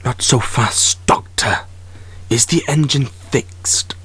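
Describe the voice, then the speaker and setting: A man playing a domineering lizard-like alien ruler in an audio drama, with a hoarse voice that slips into hissing whispers. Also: medium pitch, slow pace, bright, forceful tone, heavily acted. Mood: menacing, commanding.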